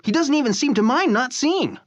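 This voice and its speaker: An actor playing a fretful, good-hearted office partner, earnest and fussing, in a comic-book drama. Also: male voice, very high voice, lively intonation, brisk, dull audio. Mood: wistful, loyal. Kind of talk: dramatized comic-book read-along acting.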